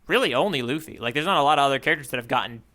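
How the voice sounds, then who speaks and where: Man, podcast co-host, very crisp bright tone, medium pitch, casual chat, halting delivery with restarts. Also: animated. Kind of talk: casual chat about a manga.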